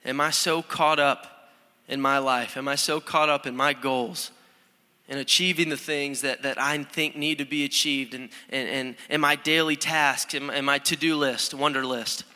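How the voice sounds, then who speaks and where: A young man, a student pastor, preaching a conversational, energetic sermon with frequent restarts, recorded in a church sanctuary. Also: medium pitch, moderate pace. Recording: long hall reverb.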